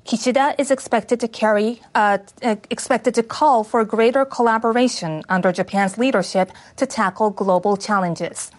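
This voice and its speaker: A woman's voice: on the high side, normal speed, few pauses. A woman reading scripted international news for radio as a newsreader. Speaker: news reader.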